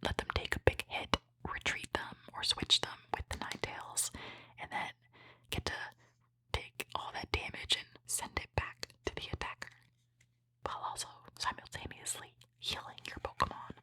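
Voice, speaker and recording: A woman speaking in a breathy, unvoiced whisper, giving gentle, appreciative ASMR commentary as she hosts a trading card opening. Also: normal speed, no echo.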